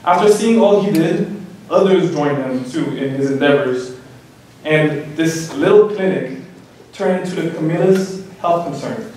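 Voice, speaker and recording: A young man earnestly reading a prepared introduction of a speaker at a podium, with some halting fillers. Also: on the high side, darkish tone, a little hiss or hum. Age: young adult. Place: large lecture hall, indoors. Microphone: podium mic, recorded by a far camera. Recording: echoey hall from far off.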